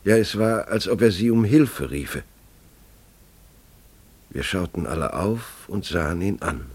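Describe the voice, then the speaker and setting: An actor playing a grave, bewildered family father who tells his story haltingly and deliberately in a German radio play. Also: low-pitched, expressive, a little mellow, breathy edge, full character voice. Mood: hushed, bewildered.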